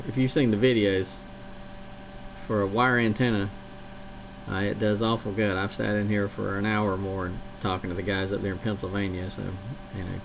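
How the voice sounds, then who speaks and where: A man's voice: on the low side, noisy background. A man who operates a CB radio, chatting in a folksy, rambling way. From North Carolina. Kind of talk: casual radio chat.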